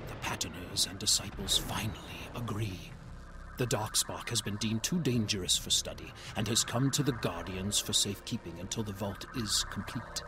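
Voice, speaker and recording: An actor playing a solemn robot guardian in a video game, narrating a log in a grave, measured, dutiful manner. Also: male voice, on the low side, close to an everyday voice. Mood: grave resolve.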